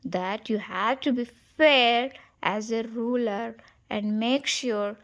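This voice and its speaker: Storybook lion king, read by a woman: fatherly, wise, measured, instructive, unhurried. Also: on the high side, fairly bright tone.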